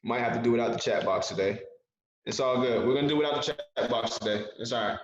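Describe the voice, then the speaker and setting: A man's voice: mid-range voice, fast talker, a little hiss or hum. Echoey young man giving a pep talk. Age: 20s. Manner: earnest, repeats key phrases for emphasis. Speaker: guest speaker. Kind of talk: motivational talk on a video call. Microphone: video call. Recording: very echoey, dull video call audio.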